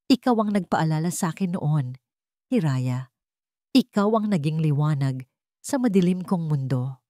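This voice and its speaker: A woman's voice: low voice, lively intonation, unhurried, mellow, no echo, clean studio sound. A woman voicing a remorseful, desperate wealthy man who pleads earnestly in a dramatic storybook. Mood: pleading.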